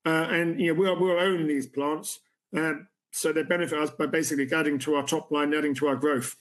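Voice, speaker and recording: Halting CEO fielding investor questions. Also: male voice, on the high side, fairly even intonation. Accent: non-American. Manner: halting, many restarts and fillers. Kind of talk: conference Q&A. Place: room with a city view at night.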